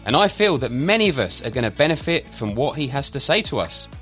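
Man, podcast host, upbeat lively delivery, faint music behind. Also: medium pitch, a little fast. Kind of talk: podcast interview.

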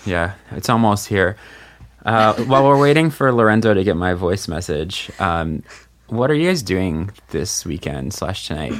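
A man's voice: sits low, expressive, moderate pace. A man hosting a podcast, playful and upbeat in casual banter with co-hosts. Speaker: podcast host.

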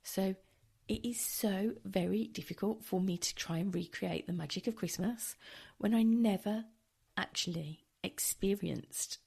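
Tired lady chatting on her podcast, croaky and a bit gravelly, dry self-mocking humour. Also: medium pitch, unhurried, darkish tone. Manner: chatty, wry and self-deprecating. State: hoarse, tired. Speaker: podcast host. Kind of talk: casual solo podcast monologue. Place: home.

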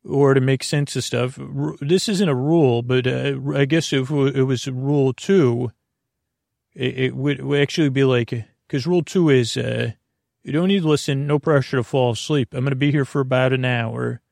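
A man hosting a sleep podcast, rambling through tangents in a low-key, unhurried way and breaking off his own sentences. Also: steady tone, creaky, a little mellow, no echo, studio quality. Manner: meandering, self-interrupting, tangents. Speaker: podcast host. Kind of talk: rambling bedtime monologue.

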